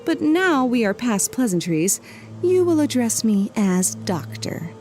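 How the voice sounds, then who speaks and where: Composed female psychiatrist, played by an actress, firm, soft-toned, music bed. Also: fairly high pitch, big pitch swings. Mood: guarded, firm. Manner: measured, professional, restrained. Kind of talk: audio drama acting.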